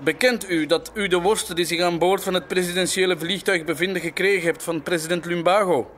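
A man's voice: high-pitched, fairly even intonation, fairly quick, a bit bright. A man voicing a stern, officious customs officer in a comic-book read-aloud, over background noise. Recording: noisy background with vehicle sounds.

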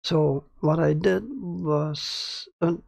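A man's voice: a little high, very slow, darkish tone, dry recording. A man hosting a casual audio tutorial in a small home studio, with a crackly voice and a hesitant way of talking full of fillers and restarts. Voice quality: crackly, gravelly voice. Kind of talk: casual screen-recorded tutorial. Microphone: close studio mic about ten centimeters away.

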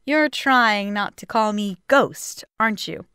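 A woman voicing a kindly, gracious aunt pony in a cartoon comic dub, genteel, sincere and gently teasing. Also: medium pitch, takes it slowly, no echo, studio quality. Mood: warm. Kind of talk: animated comic dub acting. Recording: voice under clear background music.

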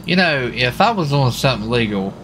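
Guy gaming w friends, lively pitch, bright voice, rambles a bit, faint noise behind. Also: a little fast. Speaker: player in online roleplay game. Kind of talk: casual joking chat.

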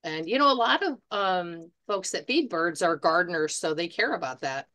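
A woman's voice: lowish voice, lively intonation, moderate pace, sharp tone. A middle-aged woman who runs a bird supply store, talking on a video call in a chatty, practical way with many fillers. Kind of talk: casual panel chat. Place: home room.

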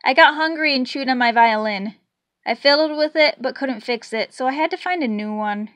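A woman's voice: high-pitched, normal speed, fairly bright tone, no echo. A woman voicing a sheepish, apologetic beaver violinist from a storybook, explaining itself.

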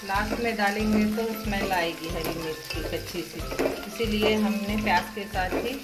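A woman hosting a home cooking channel, calmly walking through each step of a recipe, pointing things out as she goes. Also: medium pitch, moderate pace, loud music behind the voice. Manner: patient, explanatory, repeats steps with "dekhiye". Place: home kitchen, cooking at the stove.

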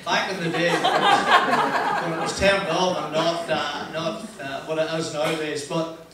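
A man's voice: a little high, lively intonation, far from the mic, very noisy. Northern Irish man, echoey hall. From Northern Ireland. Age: older. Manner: chatty, self-deprecating, frequent "uh" pauses and laughs. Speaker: motivational speaker. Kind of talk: anecdotal motivational talk. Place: large wood-panelled function room, audience present. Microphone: handheld mic.

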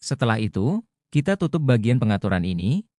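A man delivers a tutorial voice-over in a dark, soft-edged tone. He explains things methodically, one step at a time. The sound is dry and close to the mic. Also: medium pitch, sing-song, brisk, dry recording. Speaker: tutorial host. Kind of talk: instructional screen-recording narration.

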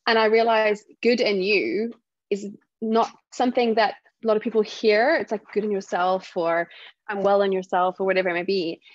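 A woman from South Africa with a softened South African accent, a guest telling stories in a casual interview and acting out what other people say. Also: medium pitch, normal speed, dull audio. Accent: South African, softened by years in the UK. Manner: animated storytelling, acts out other people's remarks. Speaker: guest speaker.

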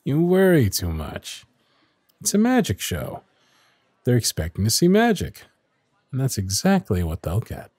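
Man as a flamboyant stage magician, lively and theatrical. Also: mid-range voice, a little slow, long pauses, echoey room. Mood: breezy, confident. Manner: grand, theatrical showman patter. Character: flamboyant, confident male stage magician, playful charmer. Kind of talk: scripted ASMR audio roleplay.

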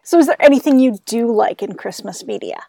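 A woman with a slightly high voice hosts a radio interview program. She speaks as the presenter, putting questions to a guest and handling station announcements. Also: lively intonation, fairly quick, warm tone, dry recording. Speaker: radio show host.

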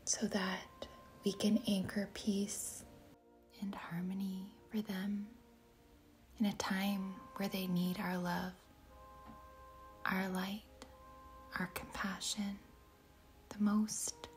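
A woman guides a meditation in a soft, breathy near-whisper at a medium pitch. She speaks very slowly and deliberately, leaving long pauses between short phrases. Her intonation stays flat and even, with a gentle, soothing delivery. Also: faint music behind.

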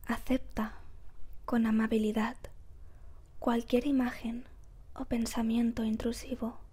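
Soft whispery woman doing guided meditation, super slow and even, calm. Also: on the high side, husky. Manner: calm, gentle, measured with long pauses.

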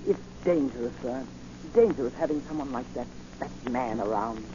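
An actor playing a nervous, deferential manservant, formal and polite, in a 1940s radio drama. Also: male voice, medium pitch, normal speed, lightly acted. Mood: worried. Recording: muffled, hissy 1940 radio recording.

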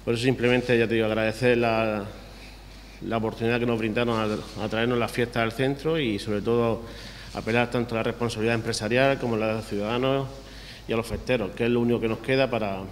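A man's voice: mid-range voice, level tone, fast. A young adult man with a Murcian Spanish accent, speaking earnestly at a press conference podium. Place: city hall press room, indoors. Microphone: podium microphone. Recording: reverberant hall, faint room hiss.

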